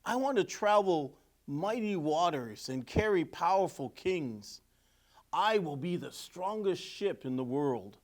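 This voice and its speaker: A man plays a bold young storybook tree longing to be a mighty ship. The delivery is slow, earnest and boastful, with animated swings in pitch. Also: exaggerated voice. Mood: bold, determined.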